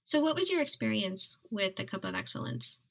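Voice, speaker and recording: A woman interviewing a guest in a relaxed chat, asking curious questions and giving short encouraging replies. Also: mid-range voice, brisk, gravelly. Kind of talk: casual interview.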